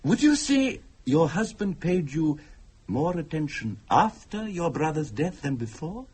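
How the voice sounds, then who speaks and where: An actor playing a formal, courteous mystic who speaks deliberately and eerily in a dramatic radio thriller. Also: male voice, on the high side, big pitch swings, darkish tone, husky, full character voice. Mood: measured, courteous. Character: calm, eerie male mystic telling of curses and spirits.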